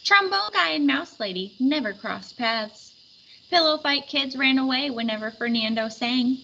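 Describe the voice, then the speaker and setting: A woman reading a children's picture book aloud with expressive storytelling. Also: sits high, normal speed, vocal fry, lo-fi sound, a little hiss or hum. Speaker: storybook reader.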